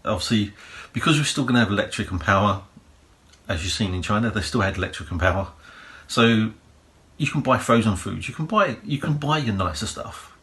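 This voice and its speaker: A man with a croaky voice, hosting a prepping channel and giving rambling practical advice full of fillers and "you know"s. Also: on the low side, brisk, fairly bright tone. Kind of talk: casual advice monologue.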